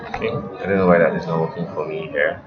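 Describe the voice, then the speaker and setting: A man giving an informal software tutorial, thinking aloud in a halting way as he works. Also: a bass voice, little pitch movement, over music. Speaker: web design instructor. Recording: muffled, roomy, noisy home recording.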